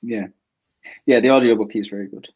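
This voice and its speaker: A man in a casual book club chat who keeps jumping in with quick quips. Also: fairly low pitch, fairly even intonation, slightly rough. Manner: chatty, jumps in with quips. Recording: muffled lo-fi sound.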